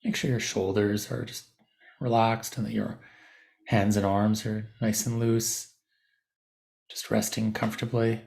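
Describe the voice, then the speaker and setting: A man leading a guided meditation, giving gentle, unscripted instructions with softened, informal phrasing. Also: on the low side, level tone, measured pace, gravelly, long pauses.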